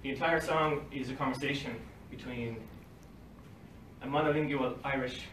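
A man's voice: mid-range voice, fairly even intonation, moderate pace, fairly bright tone. Distant man reading an academic talk. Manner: reads from paper at a lectern, dry asides with short laughs. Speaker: conference speaker. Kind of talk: academic talk read from notes. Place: library meeting room with bookshelves. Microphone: far phone camera across the room. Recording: roomy, distant, faint hiss, livestream quality.

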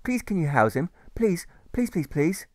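Man as a high-voiced stammering, pleading boy. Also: big pitch swings, measured pace, dry recording, clean studio sound. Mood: polite pleading.

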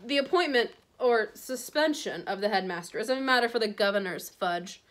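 A woman voicing a haughty aristocratic male villain in a storybook, smooth, condescending and falsely polite. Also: mid-range voice, animated, brisk, lightly acted. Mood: smug. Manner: oily politeness, condescending drawl.

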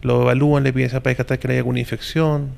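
A man's voice: mid-range voice, little pitch movement, rapid-fire, creaky, a little mellow. A middle-aged man with a Chilean accent, a hospital director giving a matter-of-fact report to reporters' mics in an office. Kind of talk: press statement. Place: indoor office. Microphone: several handheld reporter mics.

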